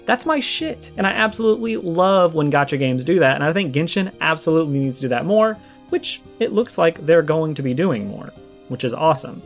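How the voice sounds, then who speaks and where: A man's voice: a little high, lively intonation, a little fast. A man giving conversational response commentary on games, explaining his argument point by point. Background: background music.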